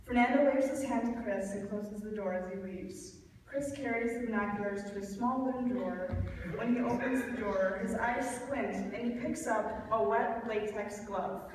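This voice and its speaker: A young woman reading stage directions as narrator of a live, impromptu play reading, a little halting with corrections. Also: mid-range voice, a little mellow. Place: large reverberant room at a live reading event. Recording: echoey hall from far off, noisy.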